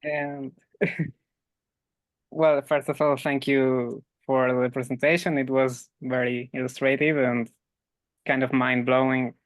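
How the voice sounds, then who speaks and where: Slow, smooth-voiced man from Colombia. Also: mid-range voice, flat delivery, dry recording. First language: Spanish. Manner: slow, searching for words, laughs. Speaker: audience member.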